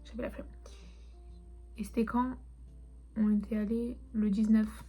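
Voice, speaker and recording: A young woman whose first language is Turkish chats casually, rambling softly and often dropping into a half-whisper. Her voice sits a little high but stays flat and mellow. Faint music plays behind her. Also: unhurried, breathy edge. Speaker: vlogger. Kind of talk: casual chat showing souvenirs.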